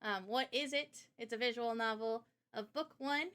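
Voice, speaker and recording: Young woman chatting on stream about her project, kinda high and really bright, upbeat and informal, bit of background noise. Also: normal speed, clear, resonant tone. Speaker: game developer and illustrator presenting a project. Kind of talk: casual livestream Q&A presentation.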